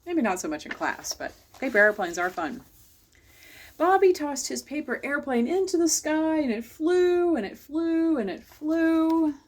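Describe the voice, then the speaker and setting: A woman's voice: high-pitched, very animated, measured pace. A woman hosting a children's storytime, reading a picture book aloud and chatting directly to young listeners. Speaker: library storytime host.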